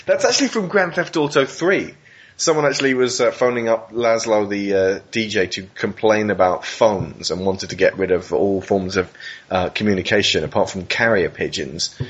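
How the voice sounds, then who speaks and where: A man's voice: fairly low pitch, normal speed, breathy edge, muffled recording. A man conducting a casual interview in a hesitant, thoughtful, wordy way.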